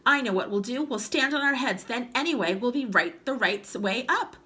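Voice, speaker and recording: A woman's voice: fairly high pitch, expressive, moderate pace, pushed and bright, voice pushed up. A woman reading a storybook aloud, voicing a nasty old man in a panicky, squealing voice.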